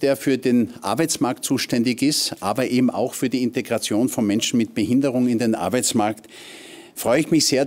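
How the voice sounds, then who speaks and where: A man, giving a formal welcome speech as a politician in a conference hall. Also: mid-range voice. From Austria. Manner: formal, measured. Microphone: lectern mic.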